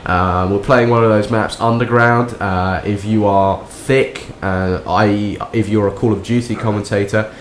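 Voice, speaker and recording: A young man, croaky and nasal from a cold, rambling through a sarcastic gameplay commentary. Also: fairly low pitch, fairly even intonation, normal speed, hardly any pauses, slight background noise. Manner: rambling, sarcastic, many restarts. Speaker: gaming commentator. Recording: echoey room with faint game sound.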